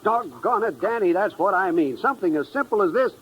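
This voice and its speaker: A man's voice: sits high, lively intonation, brisk, slightly rough, dull audio. An actor playing a genial, plain-spoken older businessman with a folksy manner in a 1950s radio drama. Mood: genial, approving. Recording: old radio recording, faint noise.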